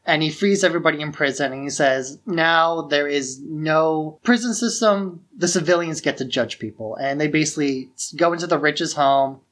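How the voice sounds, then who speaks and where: Podcast host, medium pitch, just casually explaining stuff in a chatty storytelling way. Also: normal speed, hardly any pauses, a bit bright. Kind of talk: casual film plot recap.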